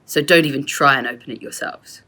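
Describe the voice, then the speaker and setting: A woman voicing a stern, brusque male police officer in a children's storybook, with a sharp, commanding manner. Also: a bass voice, a little fast, exaggerated voice. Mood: stern, warning.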